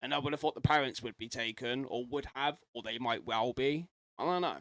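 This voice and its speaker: A man hosts a casual reaction commentary at an average pitch. He rambles and speculates as he goes, swearing mildly, in a dry recording with little room sound. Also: fairly quick, a bit bright, no echo. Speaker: reaction video host.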